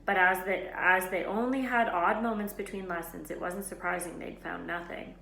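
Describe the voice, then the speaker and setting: A woman reading a children's novel aloud as an expressive storyteller. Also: fairly low pitch, normal speed, creaky. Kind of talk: expressive scripted children's book narration. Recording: echoey room with faint noise.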